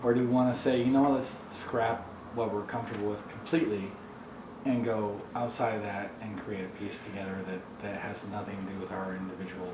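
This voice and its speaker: A man's voice: lowish voice, fairly even intonation, a little fast. An American man from the Midwest talking casually and personally about himself and his views. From US Midwest, Minnesota. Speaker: artist. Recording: echoey, noisy room, distant mic.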